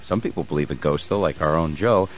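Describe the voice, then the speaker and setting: A man's voice: very deep voice, fast, muffled recording. A man hosting a morning radio talk show, asking playful, teasing questions. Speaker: radio host.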